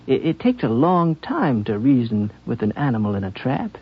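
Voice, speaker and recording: An actor playing a gentle, earnest frontier wanderer in a 1950s radio drama, musing and folksy, with halting phrasing. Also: male voice, medium pitch, big pitch swings, breathy edge. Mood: earnest, reflective. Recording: dull 1950s radio broadcast.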